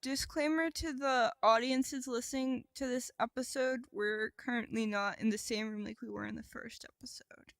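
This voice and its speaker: A woman's voice: a little high, fairly even intonation, normal speed, few pauses, no echo. A woman co-hosting a comedy podcast with casual, crude, irreverent banter and free swearing. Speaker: podcast co-host.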